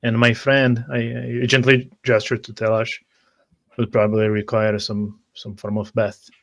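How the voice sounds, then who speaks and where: A man playing a tabletop roleplaying game, speaking in character in a polite, formal and halting manner. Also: mid-range voice, monotone, a bit bright, highs cut off.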